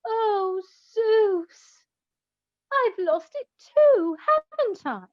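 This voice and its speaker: An actress playing a naive, dramatic goddess of love in a comic radio play, speaking with theatrical incredulity, on a slightly dull, roomy recording. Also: female voice, very high voice, sing-song, extremely slow, full character voice. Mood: dismayed, wistful.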